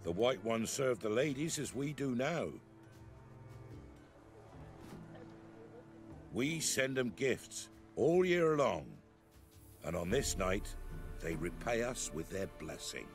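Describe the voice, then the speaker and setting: A man's voice: medium pitch, big pitch swings, unhurried, soft background music. A man playing a solemn, devout peasant elder in a fantasy game, speaking in archaic rustic phrases. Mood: defensive, stern. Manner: archaic, reverent rustic speech. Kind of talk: fantasy video game acting.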